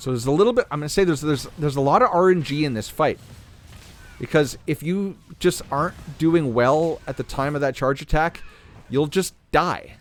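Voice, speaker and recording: Guy narrating his mobile game run, relaxed, keeps clearing his throat, voice going a bit. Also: a little high, moderate pace. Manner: relaxed, thinking aloud while playing. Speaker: gaming channel host. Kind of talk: casual gameplay commentary.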